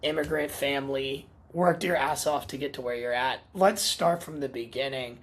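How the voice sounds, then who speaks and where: A man's voice: mid-range voice, moderate pace, a bit bright. A man hosting a podcast, asking questions and introducing the guest in an upbeat way. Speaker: podcast host. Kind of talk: podcast interview.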